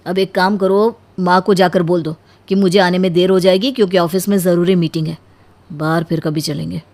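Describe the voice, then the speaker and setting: A woman's voice: lowish voice, steady tone, a little fast, a little mellow, lightly acted. A woman playing a grumbling, self-concerned young husband in a cartoon story, speaking in a complaining, reproachful way. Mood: annoyed.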